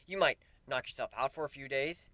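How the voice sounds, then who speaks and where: A man's voice: mid-range voice, brisk, pushed and bright, no echo, lightly acted. A man voicing a weary, wry male pegasus who grows plants, in a dramatic fan fiction reading. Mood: matter-of-fact.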